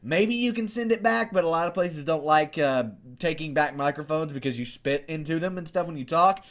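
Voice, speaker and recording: A young American man with a fairly high voice talks straight to his viewers into a close desktop condenser mic. He is informal and rambling, often posing questions to himself as he gives his review. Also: fairly quick, a bit bright. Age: young adult. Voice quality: higher-register voice, not deep or bassy. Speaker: YouTube host reviewing microphones. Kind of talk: casual video review, chatty to camera. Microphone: desktop condenser studio microphone, close up.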